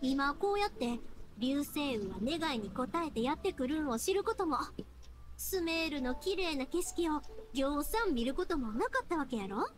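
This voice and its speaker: Bright young anime game heroine, Kansai accent, high and animated, earnest and folksy. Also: female voice, moderate pace. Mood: upbeat. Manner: heartfelt, folksy, expressive. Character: bright, earnest young woman fireworks maker. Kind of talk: Japanese anime game voice acting.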